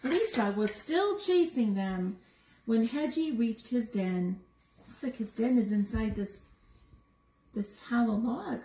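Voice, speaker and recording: High-pitched, animated woman reading a storybook. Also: takes it slowly. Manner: asks the young listeners questions, exclaims over pictures. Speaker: story time reader. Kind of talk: animated picture book read-aloud for young children. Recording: roomy echo with noticeable background noise.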